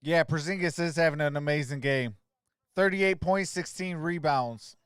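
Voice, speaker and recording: A man's voice: fairly high pitch, moderate pace, fairly bright tone, smooth, no room sound, clean studio sound. A man doing casual play-by-play on a livestream, in short, clipped calls of the action. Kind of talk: casual stream commentary.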